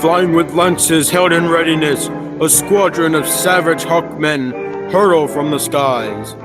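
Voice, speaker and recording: A man's voice: fairly high pitch, takes it slowly, over music. A young man narrating an old-time radio adventure serial from a script. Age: teens to young adult.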